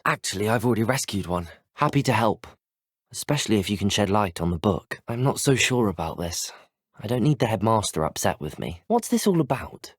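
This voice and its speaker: A plucky teenage student wizard in a video game, with a British accent and a slightly husky, expressive voice. He is polite, earnest and well-spoken, with a middling pitch and a close, dry sound. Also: no echo. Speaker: video game player character voice. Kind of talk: scripted game voice lines.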